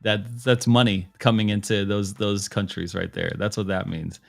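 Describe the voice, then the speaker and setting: A man's voice: lowish voice, normal speed, creaky, soft background music. A man hosting a casual chat, laid-back and joking, easily amazed by what he is shown. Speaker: show host.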